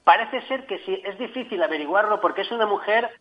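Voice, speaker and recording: A man's voice: high voice, expressive, fairly quick, muffled recording. Enthusiastic man reviewing a book. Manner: enthusiastic, frequent restarts. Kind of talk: casual radio book chat.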